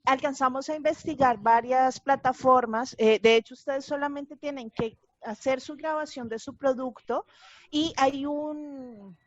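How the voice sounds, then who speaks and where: A woman's voice: high-pitched, fairly even intonation, a little slow, no echo. A woman with a Colombian accent explaining things casually in an online meeting. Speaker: panel participant in an arts collective discussion. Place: online video call. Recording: dull, muffled video-call audio.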